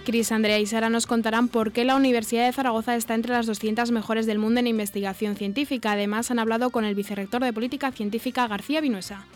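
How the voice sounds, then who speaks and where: A woman host with a Castilian Spanish accent reads scripted radio headlines at a brisk clip. Her voice sits a little high, with plucked guitar music playing behind her. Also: steady tone. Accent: Spanish from Spain. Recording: music bed under the voice.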